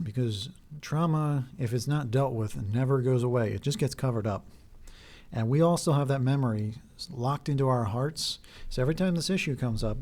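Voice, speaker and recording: A man in his thirties talks straight to his viewers in an earnest, halting way, often restarting his sentences and leaning on fillers. His tone is mellow and dark, and his voice sounds a little hoarse as he keeps going. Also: medium pitch. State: voice getting hoarse. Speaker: video host. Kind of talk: earnest talk to camera.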